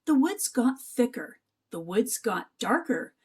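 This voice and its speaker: Animated, high-voiced woman reading a storybook. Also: slow pace, breathy edge. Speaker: children's book read-aloud narrator. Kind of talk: expressive children's storybook narration.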